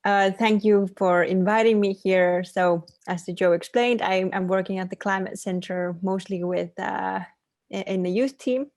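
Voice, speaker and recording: A woman's voice: medium pitch, fairly even intonation, normal speed, a little mellow, no room sound, studio quality. A woman from Finland, introducing herself casually and tentatively as a guest on an online call. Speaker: guest, youth team worker.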